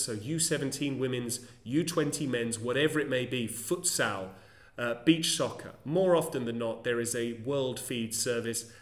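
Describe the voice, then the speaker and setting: A man speaks to camera in a measured, articulate way, his phrasing careful and a little formal. His voice sits at an ordinary middle pitch for a man. The room gives the recording a noticeable echo. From England. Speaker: conference interpreter and football commentator. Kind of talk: explanatory solo vlog monologue. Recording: roomy echo.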